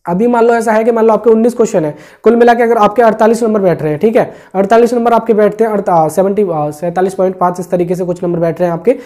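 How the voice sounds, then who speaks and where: A man's voice: high voice, steady tone, fast, few pauses, a little mellow. A man giving an informal explanatory briefing as an online video host, repeating his points and often adding small check-in tags. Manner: repetitive, with frequent check-in tags. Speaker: exam-update YouTube host.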